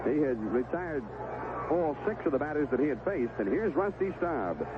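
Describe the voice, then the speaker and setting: A man's voice: on the high side, lively intonation, moderate pace, phone-quality sound, very noisy. An American man calling baseball play-by-play in a live radio commentary style, on an old 1970s broadcast. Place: stadium broadcast position.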